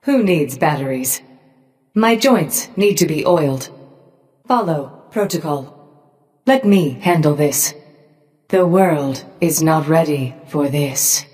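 An actress voicing a cold, cruel robotic Medusa in a video game, with a deliberate, threatening delivery. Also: female voice, low voice, animated, darkish tone. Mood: cool, matter-of-fact. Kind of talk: scripted video game voice lines. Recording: long reverb tail.

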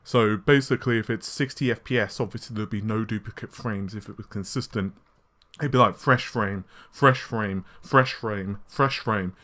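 A man hosting a casual tech news show, his voice slightly scratchy and worn, talking in a chatty way with frequent fillers and quick asides. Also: fairly low pitch, a bit bright. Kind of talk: casual solo tech commentary. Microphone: laptop recording setup.

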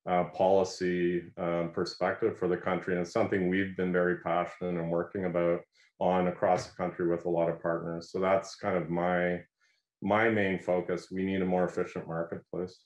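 A middle-aged man speaking as a panelist on a video call, earnest and halting, with many fillers and repeated words. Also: sits low, completely flat delivery. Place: home office. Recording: roomy, dull video-call audio.

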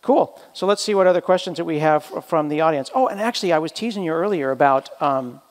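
A man's voice: on the high side, fast, in a large hall. A man hosting a live tech event, chatting in a playful, teasing, upbeat way with his guest. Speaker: event host. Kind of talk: casual hosted conversation.

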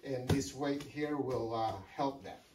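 A middle-aged man giving a casual walkthrough of his home-built project, halting with many fillers and restarts. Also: medium pitch, fairly even intonation, noisy background. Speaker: DIY builder. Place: home room with sim cockpit, indoors.

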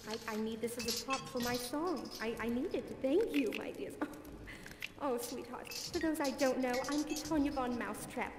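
A woman's voice: fairly high pitch, noisy background, lightly acted. A woman voicing a glamorous female cat cabaret diva, gushing with theatrical endearments between songs. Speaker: variety show performer. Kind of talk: cabaret song and stage patter. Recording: echoey hall with music behind.

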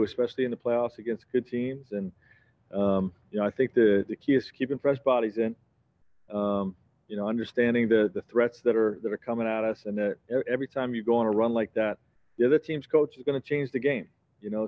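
Measured low-voiced coach at a press conference. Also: male voice, phone-quality sound. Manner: measured, steady, many fillers like "you know" and "um". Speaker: head coach. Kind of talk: postgame press conference answers. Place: press conference backdrop, indoors.

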